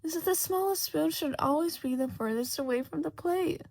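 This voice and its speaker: A woman's voice: sits high, moderate pace, vocal fry, voice pushed up. A woman voicing an anxious young storybook Duchess, by turns prim and urgent. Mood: prim, loud. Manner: clingy, switches between prim loud and urgent hushed.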